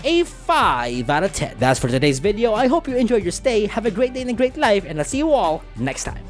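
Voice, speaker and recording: Woman, film reviewer, highly animated intonation, sarcastic, exasperated, casually profane, scripted comedic commentary, light background music. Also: fairly quick.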